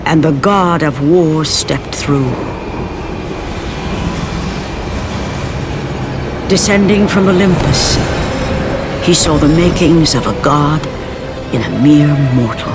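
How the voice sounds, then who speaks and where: A man playing the solemn storyteller of a Greek myth epic in a video game, narrating in a measured, dramatic way. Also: on the high side, lightly acted. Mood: grave, dramatic. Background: dramatic music.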